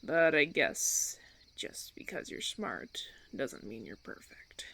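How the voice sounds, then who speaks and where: A man playing a suave, condescending vampire colony leader in a roleplay audio drama, theatrical and teasing, with drawn-out phrasing. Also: on the low side, vocal fry, pushed and bright, heavily acted. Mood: sly, persuasive.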